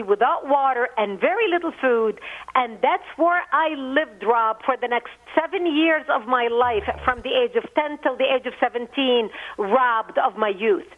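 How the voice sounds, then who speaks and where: A high-voiced woman from Lebanon speaks with emphatic conviction, stacking phrase upon parallel phrase. She sounds middle-aged. Her voice comes over a thin, tinny phone line. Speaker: phone-in guest. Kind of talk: impassioned political commentary.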